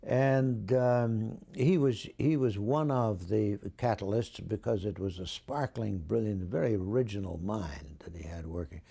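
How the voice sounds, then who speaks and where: Older male interviewee, low and slightly husky, measured and articulate, looking back with admiration. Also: mellow. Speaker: interviewee recalling radio drama history. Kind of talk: reflective reminiscence.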